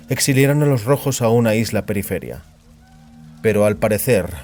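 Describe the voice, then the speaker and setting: A man narrating a dramatized story as scripted fiction, in a grave, deliberate storytelling manner. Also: medium pitch, a little mellow. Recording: music behind the voice.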